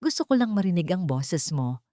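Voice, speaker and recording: A woman voicing a sweet, soft-spoken, pleading wife in a Tagalog audio drama. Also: lowish voice, sing-song, moderate pace, dry recording, clean studio sound.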